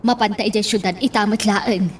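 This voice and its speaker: A woman's voice: medium pitch, fairly even intonation, moderate pace, distant mic, a little hiss or hum. A woman acting in an amateur radio drama, urgently telling someone to get ready and leave.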